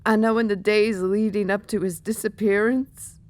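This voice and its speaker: A woman's voice: mid-range voice, flat delivery, moderate pace, darkish tone, dry recording, close to an everyday voice. A woman voicing a weary, grieving pegasus mercenary captain with a folksy, hesitant way of talking in an audio drama.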